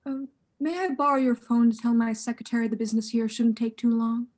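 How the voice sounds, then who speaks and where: A woman playing a courteous, confident male detective in an amateur radio play, speaking in a measured, formal way. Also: on the high side, fairly even intonation, darkish tone, lightly acted. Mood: courteous, inquiring.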